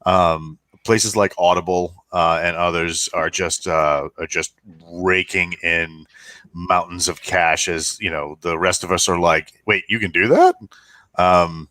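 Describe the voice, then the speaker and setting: Very deep, bright-voiced American man, dry sound. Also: fairly even intonation, a little slow, no room sound. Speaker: podcast co-host. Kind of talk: casual podcast chat.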